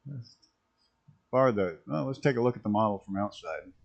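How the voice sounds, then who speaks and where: A man with a rough early-morning voice, chatting casually and rambling as he streams a flight simulator. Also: on the low side, lively intonation, normal speed, lo-fi sound. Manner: rambling, self-interrupting, chuckling at mishaps. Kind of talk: casual live-stream commentary.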